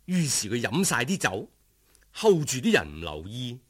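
Husky, highly animated Cantonese male storyteller. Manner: expressive, unhurried, savouring descriptions. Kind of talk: dramatic scripted folk-tale narration.

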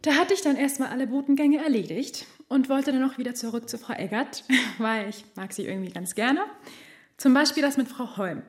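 An actress playing a chatty, cheeky and loyal office assistant in a naturalistic radio drama, speaking colloquially and groping for words. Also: female voice, high voice, brisk, natural voice. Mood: chatty, confiding.

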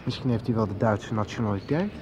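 A man's voice: on the low side, fast, lightly acted. An actor playing a troubled young man searching for answers in a radio drama. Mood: frustrated, puzzled. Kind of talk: 1990s radio drama acting. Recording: dull sound with clearly noisy ambience.

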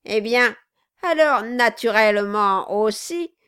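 A woman voicing a malicious male dwarf in an audiobook, loud, commanding and mocking. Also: on the high side, expressive, slow pace, clear, resonant tone. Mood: irritated sneering. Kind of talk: dramatized audiobook reading.

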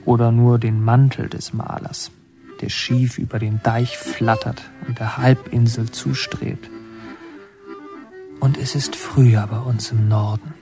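An actor playing a reflective narrator who recalls a northern childhood in a measured, evocative radio drama manner. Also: male voice, medium pitch, lightly acted. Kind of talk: literary radio drama narration, present tense. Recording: music under the voice. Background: music.

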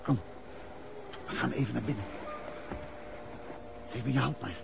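A creaky-voiced, resolute detective husband. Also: male voice, mid-range voice, a little slow. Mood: calm, watchful. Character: Paul, a calm resolute male detective husband. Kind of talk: 1960s Dutch radio drama acting. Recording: noisy old 1960s radio recording.

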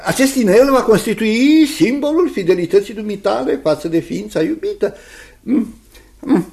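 An actor playing a formal, solemn but kindly gentleman guardian in a Romanian radio play, speaking ceremoniously and deliberately. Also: high-pitched, lively intonation, gravelly. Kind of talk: Romanian radio theatre acting.

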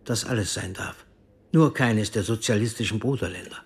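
An actor in a radio drama playing a cool, secretive intelligence officer who speaks formally and with measured control. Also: male voice, on the low side, slightly rough. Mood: calm, controlled. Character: cool secretive East German intelligence officer, frankly world-weary.

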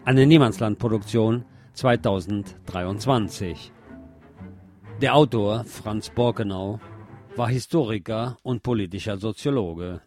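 A man's voice: lowish voice, darkish tone. A man reading scripted narration in the manner of a formal biographical note with credits.

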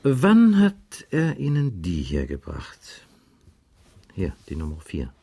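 An actor playing a matter-of-fact, insistent police inspector questioning a witness in a radio crime drama. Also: male voice, low voice, huge pitch swings, creaky, dark tone, slightly rough, heavily acted. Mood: probing, insistent. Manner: matter-of-fact, probing, deliberate.

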